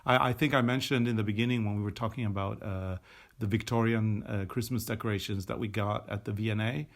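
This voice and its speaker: A man's voice: lowish voice, fairly even intonation, quick pace. Chatty Swedish-born man explaining at length. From Sweden. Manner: chatty, explanatory, with frequent fillers and restarts. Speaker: podcast co-host. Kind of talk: casual chat while knitting. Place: indoors at a table.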